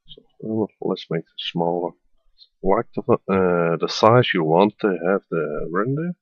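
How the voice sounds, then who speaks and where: Dutch man, tutorial voiceover, halting with fillers, unhurried, dead dry sound. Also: deep voice, husky, dry recording, narrow, tinny audio. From Netherlands. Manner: hesitant, many fillers. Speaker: tutorial maker. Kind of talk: screen-recorded software tutorial voiceover. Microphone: voiceover at a computer.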